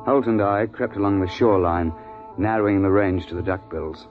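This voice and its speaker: Seasoned male safari guide played by a male actor, brisk, authoritative, old-school radio adventure, muffled recording over music. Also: deep voice, lightly acted. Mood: vivid storytelling. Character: seasoned British male big-game hunting guide, brisk and exasperated. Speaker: narrator and hunting guide. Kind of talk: 1950s radio drama acting and narration.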